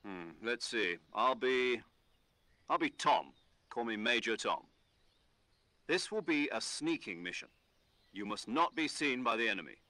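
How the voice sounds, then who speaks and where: A man playing a methodical military mission commander in a video game, speaking with deliberate authority. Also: medium pitch, animated, bright, forceful tone, no echo. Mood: measured, instructive. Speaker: commanding officer giving briefing.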